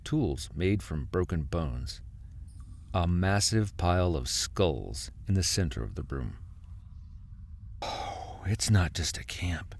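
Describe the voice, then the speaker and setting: An actor playing a desperate husband, narrating a survival horror audio drama with intense, halting suspense. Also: male voice, sits low, expressive, darkish tone, a little hiss or hum, heavily acted. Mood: grim suspense. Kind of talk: dramatic first-person horror narration and dialogue. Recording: music under the voice. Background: music.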